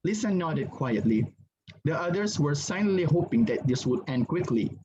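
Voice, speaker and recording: A man's voice: a little high, big pitch swings, normal speed. A man narrating a story as a dramatic reading. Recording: dull lo-fi sound, background noise.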